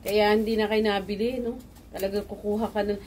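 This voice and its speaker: A woman's voice: medium pitch, flat delivery, fairly quick, a little mellow, a little hiss or hum. A Filipino woman chatting casually and politely outdoors in a rooftop garden. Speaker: visiting neighbor. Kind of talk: casual chat.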